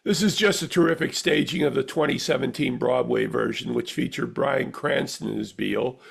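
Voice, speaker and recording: Man, theater reviewer, scripted narration, heavily creaky voice, rough husky tone. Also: mid-range voice, normal speed.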